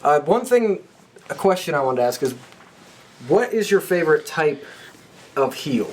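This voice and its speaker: A man with a fairly high voice hosts a casual panel chat. He speaks conversationally, in the informal way of a podcast host steering a discussion. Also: big pitch swings, moderate pace, darkish tone.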